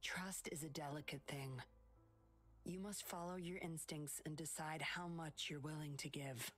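An actress playing a sly, secretive young woman patroller in an anime-style video game, cool, measured and teasing. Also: deep voice, fairly even intonation, fairly bright tone, soft background music.

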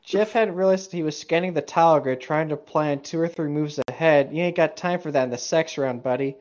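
A man's voice: fairly high pitch, a little fast, a little mellow, muffled recording. A man co-hosting casual, joking banter, mocking and swearing freely. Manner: profane, mocking. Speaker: co-host reading and mocking internet horror fiction.

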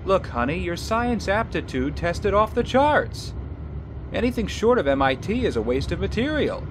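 A man playing a stern, dismissive father in an animated drama, overbearing and insistent. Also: high voice, moderate pace, background noise. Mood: disapproving.

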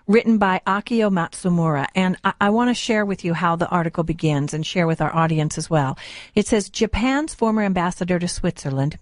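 An American woman speaks as a radio talk host, in a conversational manner. Her voice sits at an ordinary middle pitch, and the studio sound is close and dry. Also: darkish tone, no echo. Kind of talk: conversational radio interview, reading aloud at times.

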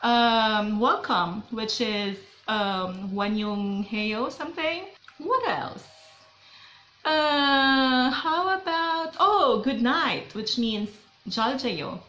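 A woman's voice: a little high, lively intonation, slow, bright, forceful tone, reverberant, dull audio. A young Filipino woman with a Filipino accent, chatting upbeat and playful in a casual vlog to camera in a small bedroom. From Philippines. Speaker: vlogger.

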